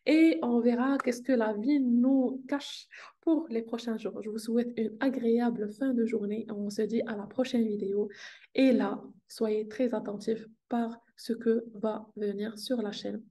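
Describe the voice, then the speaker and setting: Warm-voiced woman vlogging. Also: a little high, fairly even intonation, unhurried. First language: Arabic. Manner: earnest, upbeat, repeats phrases for emphasis. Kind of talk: casual motivational vlog talk. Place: hotel meeting room.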